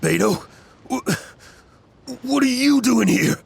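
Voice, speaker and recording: A man voicing a shifty treasure-thief foreman in a video game, rough and wheedling, with music behind him. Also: very high-pitched, animated, slow, slight background noise, exaggerated voice. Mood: flustered, flattering. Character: shifty, pleading male treasure-hoarder foreman. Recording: background music under the voice.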